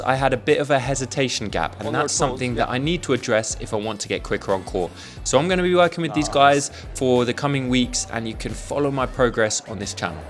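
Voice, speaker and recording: Young male vlogger, brisk upbeat narration, music bed underneath. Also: medium pitch. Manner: upbeat, matter-of-fact storytelling. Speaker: padel vlogger. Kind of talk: voice-over narration.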